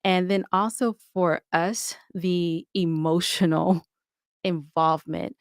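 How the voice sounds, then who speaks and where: A woman's voice: lowish voice, fairly even intonation, slow, no room sound, clean studio sound. A woman podcaster speaking conversationally as an interview guest, giving advice in an emphatic, opinionated way.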